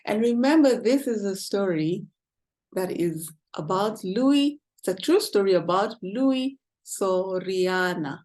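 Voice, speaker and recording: A woman's voice: medium pitch, lively intonation, slow pace, darkish tone. A woman reading a picture book aloud to children, expressively and with questions to the listeners. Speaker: children's storyteller.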